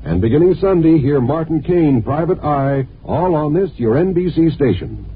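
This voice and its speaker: Animated vintage radio announcer, man, tinny. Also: a little high, moderate pace, breathy edge. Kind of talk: 1950s radio promo announcing. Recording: tinny, faintly noisy old radio recording.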